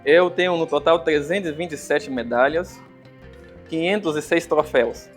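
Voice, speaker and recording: A man from Angola giving a conference talk, with an Angolan accent touched by Brazilian Portuguese, speaking hesitantly with many fillers and pauses. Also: a little high, soft background music. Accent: Angolan Portuguese with some Brazilian. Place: conference venue.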